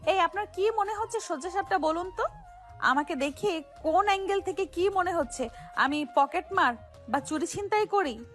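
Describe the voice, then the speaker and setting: A woman's voice: very high voice, lively intonation, normal speed, a bit bright, faint music behind. A woman playing a brash, defiant young pickpocket gang boss in an audio romance story, sassy, slangy and argumentative. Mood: indignant, defensive. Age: young adult.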